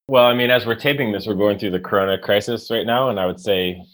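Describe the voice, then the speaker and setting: Deep-voiced chatty American man. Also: fast, fairly bright tone. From United States. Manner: chatty, storytelling, self-deprecating with laughs. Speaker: leadership coach guest. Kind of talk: casual podcast interview.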